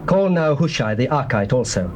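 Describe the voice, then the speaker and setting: An actor playing an imperious young prince in dramatized scripture, declaiming commands, on a hissy old cassette. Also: male voice, medium pitch, expressive, moderate pace, a little mellow, natural voice. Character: Absalom, imperious young prince seeking counsel.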